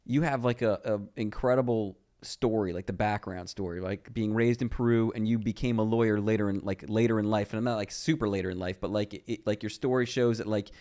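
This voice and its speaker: A man's voice: lowish voice, steady tone, brisk, few pauses, dark tone. A man hosting a podcast interview, chatty and loose, leaning on "like" and "you know" while asking questions. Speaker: podcast host, lawyer. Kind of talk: casual interview talk.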